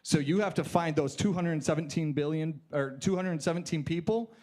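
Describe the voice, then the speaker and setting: A man's voice: on the high side, moderate pace, darkish tone. A man speaking from the floor at a public forum, urgent and emphatic, pressing the audience again and again to act. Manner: urgent, emphatic, repeats "you have to". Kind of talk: impassioned call to action. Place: conference hall.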